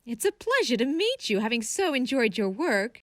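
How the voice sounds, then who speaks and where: A woman's voice: a little high, big pitch swings, normal speed, fairly bright tone. An actress playing a formal, genteel and judgmental society lady in a period audio drama. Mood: politely condescending.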